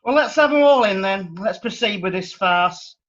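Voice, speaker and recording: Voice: medium pitch, expressive, moderate pace, a bit bright, close to an everyday voice. Actor as an exasperated magistrate, radio drama, dull lo-fi sound. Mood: wry. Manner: wry, exasperated, colloquial. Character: wry, impatient magistrate.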